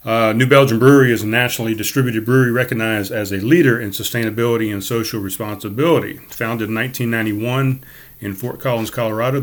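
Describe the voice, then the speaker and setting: A man hosting a casual podcast from his home studio, talking with a big, projecting voice and a rambling, relaxed flow. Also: mid-range voice. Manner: loud, projecting voice. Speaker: podcast host. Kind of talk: casual chat. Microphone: studio mic on a board.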